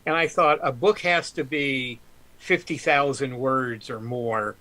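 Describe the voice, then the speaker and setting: A man's voice: mid-range voice, unhurried, pushed and bright. A man guest author telling stories on a live stream, rambling with many ums and restarts. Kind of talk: casual storytelling on a live stream.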